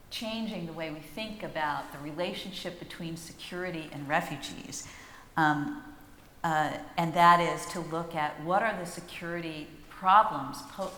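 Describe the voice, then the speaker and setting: A woman with a low voice explains policy to a panel, measured and deliberate, often pausing on fillers. Her voice rings through a large hall with a long, roomy reverb and faint ambience behind it. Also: a bit bright, slight background noise. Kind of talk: panel discussion.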